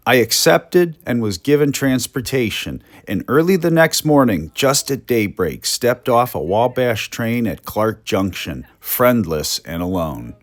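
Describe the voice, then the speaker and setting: A man's voice: medium pitch, big pitch swings, unhurried, hardly any pauses, no room sound. An American man doing a dramatic, projected reading as a forlorn, indignant young railroad telegraph employee of the 1890s. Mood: forlorn, theatrical. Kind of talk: dramatic reading of an old letter.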